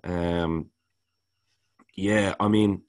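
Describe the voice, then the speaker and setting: A man with a baritone voice, talking casually as a podcast co-host and rambling, often repeating words while he searches for them. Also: fairly even intonation, drawn-out words, a little mellow. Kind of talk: casual music review chat.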